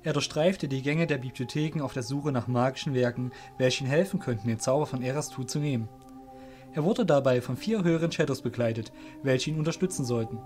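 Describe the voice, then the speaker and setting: A man's voice: mid-range voice, fairly quick, a little mellow, soft background music. A man narrating a scripted fantasy story as the narrator of an audio drama.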